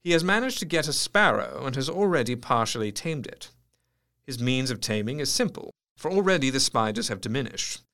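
A man playing an educated asylum doctor who dictates a clinical diary in a measured, precise way. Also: medium pitch, big pitch swings, bright, forceful tone. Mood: thoughtful. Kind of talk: dramatised diary narration.

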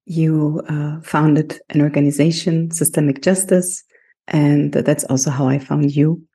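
A woman's voice: deep voice, steady tone, measured pace, warm tone, breathy edge. A woman hosting a podcast, presenting and putting questions to a guest. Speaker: podcast host. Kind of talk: scripted introduction and interview questions.

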